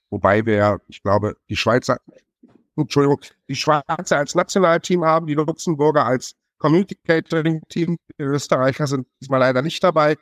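A man explaining things in a brisk, enthusiastic way, running through lists of countries and details. His voice is mid-pitched, and the recording is dry and close with little room sound. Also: dry recording. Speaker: chef association representative. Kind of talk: podcast conversation.

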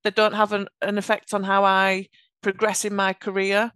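A woman with a Yorkshire accent, a podcast guest giving earnest, measured answers about her work. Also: medium pitch, monotone, a bit bright, clean tone, no room sound. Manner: earnest, measured explanations with occasional restarts. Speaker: podcast guest, automation architect. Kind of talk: conversational interview answers.

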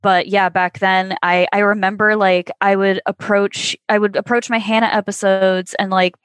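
A woman's voice: medium pitch, flat delivery, normal speed, smooth, no room sound. A woman in her twenties, a podcast guest, telling stories in a thoughtful, reflective way. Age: 20s. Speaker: podcast guest, former child actor. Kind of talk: casual podcast conversation.

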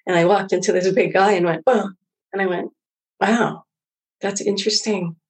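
Female interview guest, middle-aged, husky edge to the voice, loose and reflective conversational storytelling. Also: medium pitch, steady tone, normal speed. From Hawaii. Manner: informal, rambling, quotes own thoughts. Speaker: interview guest, former engineer.

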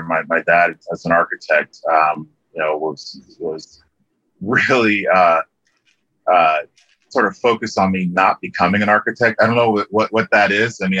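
A deep-voiced middle-aged man from Liberia talks as a guest in a relaxed interview, full of ums and restarts. His tone is bright and forceful, and the recording sounds dull and lo-fi with a dry, close feel. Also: dry recording. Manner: relaxed, many ums and restarts, laughs easily. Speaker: interview guest, landscape architect. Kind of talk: casual interview conversation.

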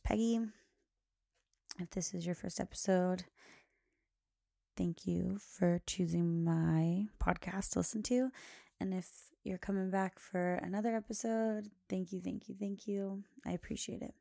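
A woman hosting her own podcast, chatting casually in a loud, carrying voice, rambling with many fillers and frequent laughs. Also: medium pitch, creaky, no echo, clean studio sound. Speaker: podcast host. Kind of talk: casual chat.

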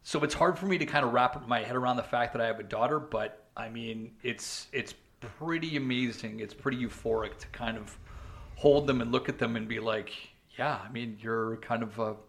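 A man's voice: mid-range voice, normal speed, gravelly. Young man, casual podcast chat, rambling, filler-heavy. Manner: chatty, frequent restarts and "man", "you know". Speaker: podcast co-host.

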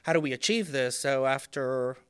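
A man in his thirties from Puerto Rico, a native Spanish speaker, talking as a podcast guest about his design work, thoughtful and pausing with many ums. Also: mid-range voice, clear, resonant tone. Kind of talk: casual interview talk.

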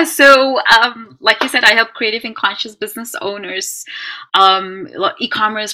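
Bright, animated woman. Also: mid-range voice, low-quality recording. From Netherlands. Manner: animated, emphatic, talks in quick run-on lists. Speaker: guest, brand strategy consultant. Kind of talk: podcast interview.

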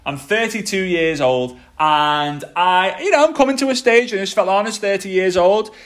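A man in his thirties gives a motivational talk on his podcast. His voice sits a little high for a man. He speaks with energy and keeps repeating his key points for emphasis. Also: lively intonation, moderate pace, fairly bright tone. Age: 30s.

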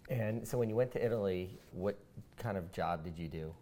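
Male interviewer, deep and mellow, short questions. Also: normal speed. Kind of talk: oral history interview questions.

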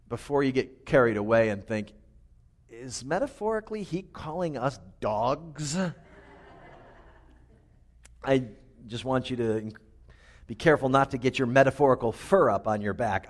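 A middle-aged man preaches into a microphone in a small chapel. His voice is loud and resonant, and he moves easily between scripture and humorous personal stories in a lively, conversational way. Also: mid-range voice. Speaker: pastor. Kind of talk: sermon.

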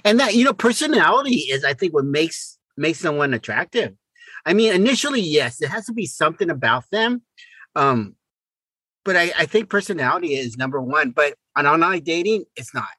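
Animated, bright-voiced man co-hosting a podcast. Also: a little high, husky, no echo, rough recording. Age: middle-aged. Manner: halting, repeats words. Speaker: podcast co-host. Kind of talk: casual conversational chat.

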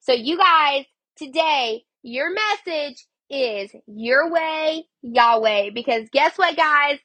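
A woman's voice: sits high, animated, takes it slowly, a bit bright, no room sound. A woman with a cold, sounding sniffly, hosting a casual devotional podcast in a chatty way, saying "like" a lot. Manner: chatty, heavy use of "like". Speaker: podcast host.

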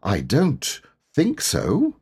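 A man voicing a humble, slow-witted, good-natured bear in a storybook, speaking in a hesitant, muddled way. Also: medium pitch, big pitch swings, a little mellow, pitched above the performer's own voice.

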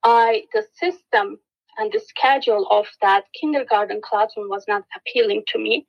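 A woman from Turkey with a Turkish accent, giving an earnest, steady interview answer with many fillers and restarts. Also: mid-range voice, normal speed, vocal fry, dull audio. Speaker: guest, education specialist.

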